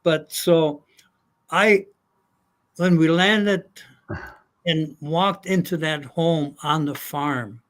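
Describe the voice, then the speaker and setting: An older man from Germany telling his life story, halting with many fillers. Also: fairly high pitch, fairly bright tone. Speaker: guest. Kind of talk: casual storytelling.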